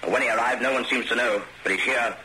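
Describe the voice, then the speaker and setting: A man's voice: mid-range voice, quick pace, lightly acted. An actor playing a brusque, sardonic male intelligence chief with a dry manner in a radio spy comedy-drama. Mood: urgent, wry. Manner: dry, sarcastic. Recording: noisy radio drama recording.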